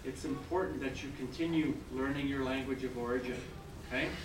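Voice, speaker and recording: A man's voice: medium pitch, fairly even intonation, fairly quick. An older man from Canada whose first language is French, delivering an encouraging speech to a room full of new citizens. He keeps checking in with his listeners. The sound is roomy and picked up from a distance, with noise behind him. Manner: encouraging, repeatedly checks in with "okay?". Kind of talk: ceremonial speech. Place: large hall with an audience. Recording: echoey hall, mic far off, noisy.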